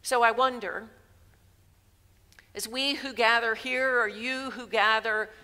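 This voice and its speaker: Woman, 60s, pastor, sermon, unhurried delivery, reverberant sanctuary. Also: on the high side, fairly even intonation, sharp tone. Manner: measured, emphatic preaching with gestures. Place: large church sanctuary, indoors. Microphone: headset mic. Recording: long reverb of a church hall.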